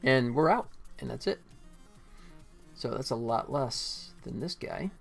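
An American man in his forties chatting casually and haltingly as he livestreams game development, thinking aloud. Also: medium pitch, animated, creaky, soft background music. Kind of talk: casual chat while working.

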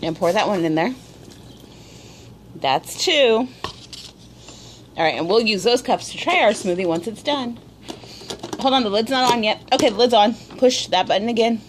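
A woman's voice: on the high side, animated, normal speed, long pauses, background noise. A woman filming a casual cooking video at home, patiently encouraging and instructing her small child step by step. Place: indoor home room at a table.

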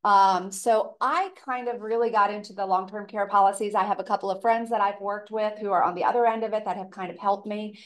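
A woman presenting an informal seminar in a casual, chatty way, her voice raspy from a cold. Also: mid-range voice, steady tone, brisk, few pauses. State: tail end of a cold. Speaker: presenter, elder care coordinator.

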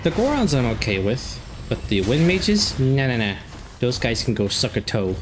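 A young man with a stuffed-up nose giving casual, jokey commentary while playing a game, breaking into excited outbursts. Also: mid-range voice, moderate pace, background noise. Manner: chatty, jokey, excitable outbursts during fights. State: stuffed up from a cold. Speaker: gameplay commentator. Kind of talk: casual let's play commentary. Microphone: desk microphone.

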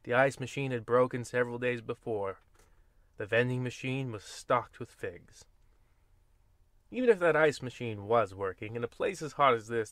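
A man's voice: mid-range voice, fairly bright tone, no echo. A man narrating in the style of an old-time horror radio host, played for comedy, with rambling, folksy and wry asides. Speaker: host and storyteller of a radio anthology.

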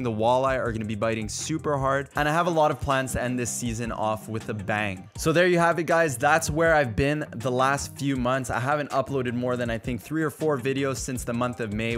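A man's voice: mid-range voice, fairly bright tone. Earnest young man, close studio mic. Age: 20s. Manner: earnest and upbeat, addresses viewers as "you guys". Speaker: fishing channel host. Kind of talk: scripted update to viewers, speaking to camera. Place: small dark indoor studio room. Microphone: studio mic on a boom arm, close.

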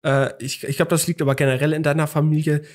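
A man's voice: a little high, level tone, quick pace, a little mellow. A young man in his twenties co-hosting a casual podcast chat, telling a story with many fillers and restarts, hungover. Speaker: podcast co-host.

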